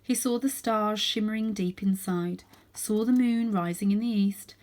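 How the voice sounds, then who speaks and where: A woman with a mid-pitched voice reads a children's story aloud. She delivers it as scripted narration, as a storybook reader. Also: measured pace, darkish tone.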